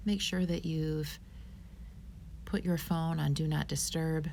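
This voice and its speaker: A woman teaching yoga, giving guided meditation instruction in a calm, soothing, unhurried manner. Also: deep voice, vocal fry, slight background noise.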